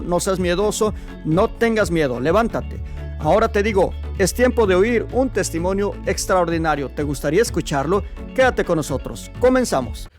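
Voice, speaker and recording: Preaching man with music behind. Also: sits high. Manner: exhorting, addresses listener directly. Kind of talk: preaching introduction and interview.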